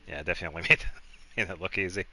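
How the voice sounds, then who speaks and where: A man's voice: sits low, fairly even intonation, fast talker, music in the background. A man streaming a video game, chatting in a casual, easygoing and rambling way. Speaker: game livestreamer. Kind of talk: casual chat while playing a game.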